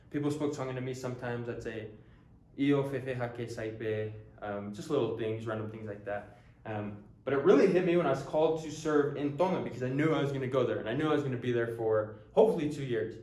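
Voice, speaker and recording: A man's voice: medium pitch, darkish tone, reverberant. A young man in his early twenties from the United States, giving an earnest, casual introduction to a language course with frequent ums and restarts. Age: early 20s. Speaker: language teacher. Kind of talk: casual talking-head introduction.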